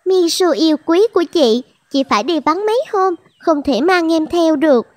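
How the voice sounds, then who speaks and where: A woman voicing an affectionate young girl talking to her pet in a cartoon, gentle and doting. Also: very high-pitched, expressive, moderate pace, no room sound. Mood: tender.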